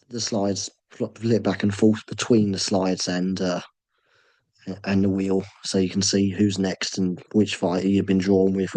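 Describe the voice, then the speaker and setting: A man whose voice is worn down by a sore throat hosts a casual online draw from his computer. He speaks low and haltingly, stopping often for ums and uhs. The sound is close and dry, with no room echo. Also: mellow. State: sore throat, voice nearly gone. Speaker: host running a fantasy draw. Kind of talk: casual screen-share commentary.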